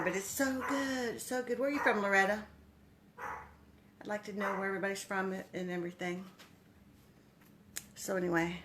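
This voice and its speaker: A woman's voice: mid-range voice, noisy background. A woman from Texas with a Texan accent, hosting a casual live cooking stream and chatting with viewers by name in a kitchen. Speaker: cooking livestream host. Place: home kitchen.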